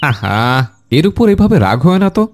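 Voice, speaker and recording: A man voicing an indulgent, slightly impatient father in a cartoon, with a coaxing, expressive manner. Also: a little high, measured pace. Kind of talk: animated cartoon dialogue.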